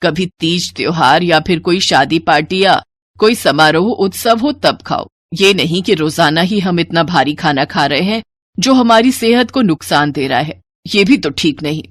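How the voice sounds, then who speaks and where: An older Indian mother-in-law played by a woman with a lowish voice and a dry, close sound. She is long-suffering and caring, and she admonishes as she explains, in the manner of a storybook moral tale. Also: animated, normal speed, no room sound. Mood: gentle advice. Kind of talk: dramatised Hindi moral story.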